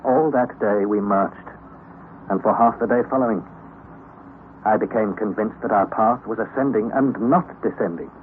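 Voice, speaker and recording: An actor playing Harry, a young nephew narrating an expedition, in a 1930s radio drama, on a tinny old recording. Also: male voice, lowish voice, slight background noise, close to an everyday voice. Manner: measured storytelling narration.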